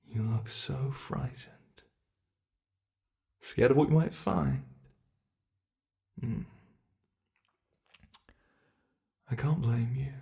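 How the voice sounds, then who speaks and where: A man voicing a gleeful, menacing, centuries-old monster under the bed in an ASMR horror roleplay, teasing in a whispery way with drawn-out words. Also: mid-range voice, gravelly, warm tone. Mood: menacing, playful.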